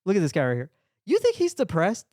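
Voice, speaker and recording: A man's voice: high voice, huge pitch swings, fast, a little mellow, dry recording, studio quality. A man co-hosting a podcast in casual, joking, slangy chat, often saying dude and bro. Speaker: podcast co-host.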